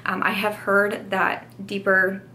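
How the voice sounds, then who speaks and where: A young woman beauty vlogger speaking candidly to her viewers, earnest and hesitant, with many pauses and restarts. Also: medium pitch, monotone, sharp tone, a little hiss or hum. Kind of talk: candid apology vlog to camera.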